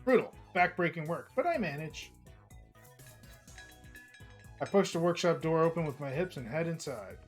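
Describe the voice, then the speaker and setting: Hoarse man narrating a game stream. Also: fairly high pitch, animated, moderate pace, long pauses, faint music behind. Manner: casual, chatty, reading aloud with small slips. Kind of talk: narrating a visual novel live.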